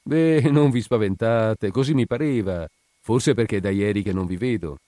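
A man's voice: lowish voice, normal speed, mellow, no echo, clean studio sound, close to an everyday voice. A man voicing a pious, sighing widow, a homely and evasive neighbour woman in a story. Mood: wry, weary. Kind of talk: dramatic audiobook character reading.

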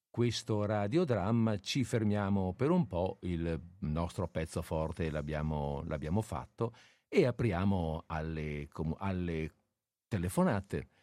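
A man's voice: on the low side, warm tone, no echo. A man hosting a casual radio chat from a studio, hesitant and often searching for his words. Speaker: radio show host.

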